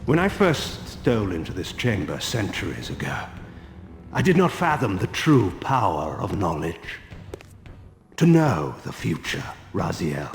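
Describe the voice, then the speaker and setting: An actor playing an ancient, cold, mocking vampire lord in a video game, speaking in slow, grandiose pronouncements. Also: male voice, deep voice, wildly swooping pitch, exaggerated voice. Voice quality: gravelly, theatrical. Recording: roomy hall reverb, game score behind.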